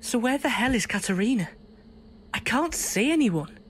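A man's voice: very high-pitched, animated, moderate pace, background noise. Actor as grumbling city boy. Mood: uneasy, complaining. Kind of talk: audio drama acting.

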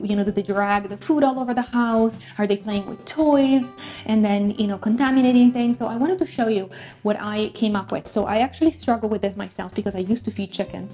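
A woman's voice: medium pitch, dark tone. A woman in her thirties giving casual, chatty how-to advice to a camera on a tripod in her home kitchen, with fillers and self-corrections. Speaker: pet care vlogger. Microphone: camera on tripod at a distance. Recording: echoey kitchen with faint music.

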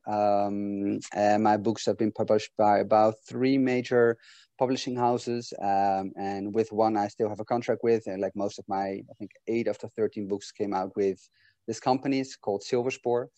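A man's voice: fairly low pitch, moderate pace, no echo. A man in his forties from the Netherlands with a Dutch accent, chatting casually as a guest on a video call from home, with plenty of ums and restarts. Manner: relaxed, many fillers and restarts. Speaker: guest author. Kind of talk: casual interview chat over video call. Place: home room.

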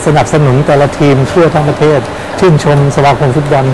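A man in his sixties, a veteran football coach, talking animatedly in an outdoor interview beside a pitch with a lapel mic. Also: brisk, noisy background. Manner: animated, exclaims often.

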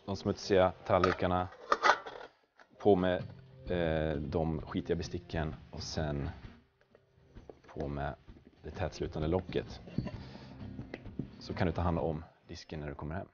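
A young man with a very low voice demonstrates a product, pausing and hesitating as he goes. The recording is dull, with clear background noise and some clicks. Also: fairly even intonation. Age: young adult. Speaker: product manager presenting. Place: basement prototype workshop, indoors.

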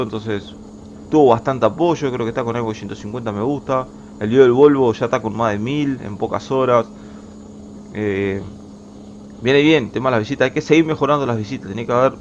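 A young man from Uruguay with a Uruguayan Spanish accent, chatting casually and rambling as he plays a truck-driving game at home. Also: mid-range voice. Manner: chatty, rambling, restarts words often. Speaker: gaming YouTuber. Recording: slightly dull home recording with faint game noise.

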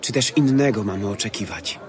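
A man's voice: lowish voice, animated, fairly quick, crowd noise, natural voice. An actor playing an earnest male disciple, a questioning messenger in a scripture audio play. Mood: searching, earnest.

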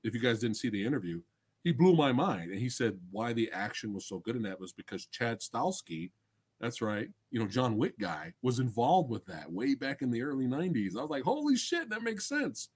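A middle-aged man with a husky voice and a very lively, swinging pitch. He hosts a casual livestream, chatting to viewers in enthusiastic slang peppered with swearing. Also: fairly quick. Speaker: livestream host and film reviewer. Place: small indoor room crammed with collectibles. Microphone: desk microphone close in front.

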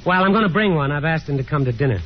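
An actor playing a sardonic, restless young son in a 1950s radio drama, with a dry, teasing delivery. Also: male voice, fairly high pitch, expressive, racing, a little hiss or hum, lightly acted. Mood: wry, casual. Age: young adult. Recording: dull 1950s radio broadcast.

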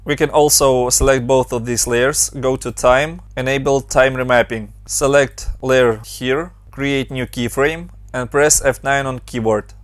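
A young man from Ukraine narrates a tutorial in his Ukrainian accent, moving briskly from one instruction to the next. His mid-pitched voice is recorded dry and close, with no room sound. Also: steady tone, dry recording. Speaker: motion design tutorial host.

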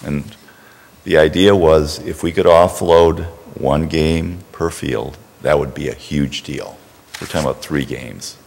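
A man's voice: very deep voice, vocal fry, darkish tone. A middle-aged man explaining his case to a board at a public meeting, restarting his sentences as he goes, standing at a podium in a council chamber. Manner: gestures broadly, talks in halting restarts. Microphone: podium mic, turned away from it. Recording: echoey council chamber audio.

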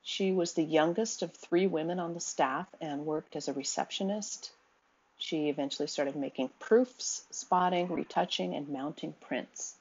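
A woman giving a recorded slide lecture in a measured, steady way, with the odd um. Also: low-pitched, slightly rough, lo-fi sound. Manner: measured, reads quotes aloud, occasional ums.

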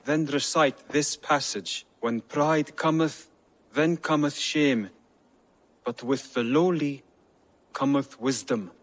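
A man playing an earnest, courteous young scholar-assassin in a video game, speaking gently and carefully. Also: on the high side, slow. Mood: careful, reciting. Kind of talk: video game cutscene acting.

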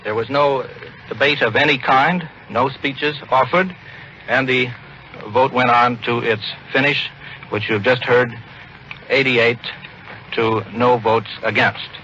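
A man's voice: medium pitch, fairly even intonation, slow pace, sharp tone, highs cut off, slight background noise. An American man reporting live news as a radio correspondent, on a 1940s broadcast.

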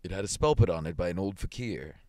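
Guy doing a weathered middle aged army man for a storybook, super low, quiet, solemn and gruff. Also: expressive, quick pace, no room sound. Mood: grave.

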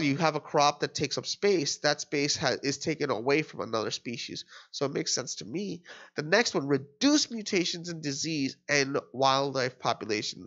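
A man's voice: fairly high pitch, fairly bright tone. A young man tutoring an exam problem in a casual, think-aloud way, a little nasal and stuffy from allergies. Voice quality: slightly nasal. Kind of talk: screen-recorded exam walkthrough. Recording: slightly dull lo-fi sound.

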